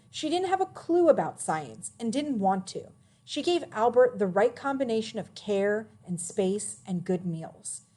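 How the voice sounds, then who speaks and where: A woman reading a children's biography aloud as a narrator, with expressive, emphatic phrasing. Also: a little high.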